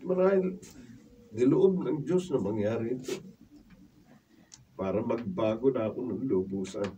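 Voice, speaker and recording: An actor playing a weary drunkard older brother in a Filipino radio drama, with a gravelly voice. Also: male voice, medium pitch, a little slow, dark tone, lo-fi sound, natural voice. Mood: weak, remorseful. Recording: distant mic with faint noise.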